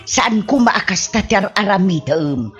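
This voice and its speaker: A woman's voice: medium pitch, expressive, unhurried, highs cut off, over music. A woman playing a weary, plaintive mother in an Ilocano radio comedy drama, with an Ilocano accent. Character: weary mother of a troublesome grown son.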